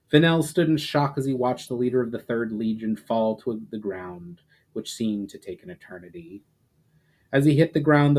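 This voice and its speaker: A man's voice: mid-range voice, a little mellow. A man reading fantasy fiction aloud as a dramatic narration, hitting phrase openings with emphasis and letting sentence ends fall away. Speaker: writer reading own story aloud.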